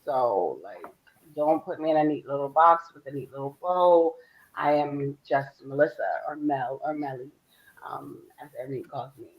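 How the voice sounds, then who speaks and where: A woman's voice: low-pitched, normal speed, muffled recording. A woman in her forties from Philadelphia, a guest talking openly and chattily about herself on a panel. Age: 40s. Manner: open, candid, chatty with soft laughs. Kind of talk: casual panel chat.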